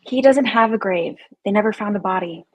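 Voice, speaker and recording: A woman playing an earnest, spooked young camp counselor in a horror script table read, with a husky voice. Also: mid-range voice, a little fast, lightly acted, low-quality recording. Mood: uneasy.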